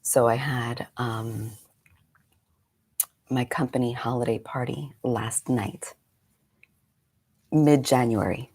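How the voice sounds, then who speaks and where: A woman's voice: a bass voice, fairly even intonation, slow pace, long pauses, a little mellow, husky. A woman in her forties, a meditation coach, chatting casually on a live stream, slightly congested and rambling through friendly asides. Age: 40s.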